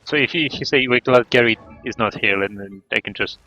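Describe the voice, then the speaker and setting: Casting: male game streamer, casual and bright, slightly dull mic sound over faint music. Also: mid-range voice, brisk. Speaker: game livestreamer. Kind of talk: casual livestream chat.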